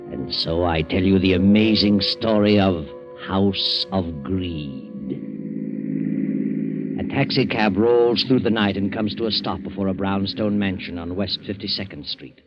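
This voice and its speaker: A man's voice: low voice, measured pace. A man with an American accent playing a sinister, all-knowing narrator in a 1940s radio mystery, ominous and theatrical. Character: sinister all-knowing male narrator who walks by night. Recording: muffled old radio broadcast, music behind.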